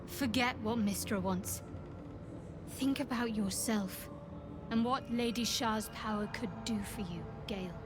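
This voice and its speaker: An actress voicing a commanding dark goddess who speaks to the hero from beyond, coaxing and persuasive, in a video game. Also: female voice, fairly high pitch, measured pace, lightly acted. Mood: tempting. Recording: game audio with music under it.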